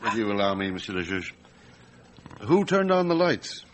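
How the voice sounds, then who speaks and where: A shrewd police inspector in a radio drama. His voice is on the low side. He puts his questions patiently and courteously, in a deliberate manner. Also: very animated. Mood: measured, probing.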